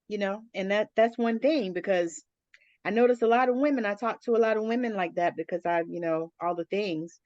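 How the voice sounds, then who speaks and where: Female host, middle-aged, mid-pitched, blunt and chatty, on a close, slightly muffled recording. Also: no echo. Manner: blunt, colloquial, repeats "you know". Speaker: interview host. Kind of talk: casual chat.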